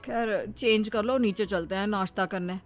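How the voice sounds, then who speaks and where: A woman's voice: medium pitch, moderate pace, faint music behind, close to an everyday voice. A woman voicing a mild, obedient young husband in a cartoon story, soothing and resigned. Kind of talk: cartoon moral-story acting.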